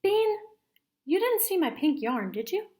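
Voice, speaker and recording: Storybook role: suspicious, tattling girl played by a woman, high, pointed and bossy. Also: big pitch swings, unhurried, warm tone, heavily acted. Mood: accusing. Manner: accusing, pointed. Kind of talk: children's storybook read-aloud character voice.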